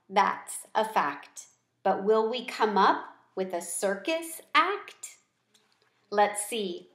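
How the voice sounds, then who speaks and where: A middle-aged woman reads a children's story aloud at an unhurried pace. Her pitch is average and her phrasing is deliberate. The room adds noticeable echo. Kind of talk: expressive children's book read-aloud. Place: small room at home. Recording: roomy home recording.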